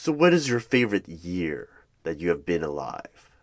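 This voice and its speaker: A man with a deep voice plays a hiring manager in an ASMR interview roleplay. His pitch moves widely as he puts measured, deadpan questions to a candidate. Keyboard typing, clicks and faint noise sit behind the voice. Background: computer keyboard typing and clicks.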